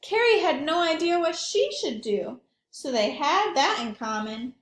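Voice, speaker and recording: High-voiced, animated woman reading to children. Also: measured pace, echoey room. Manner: expressive, simple explanatory asides. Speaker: early childhood read-aloud storyteller. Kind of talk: picture-book read-aloud with asides to young children.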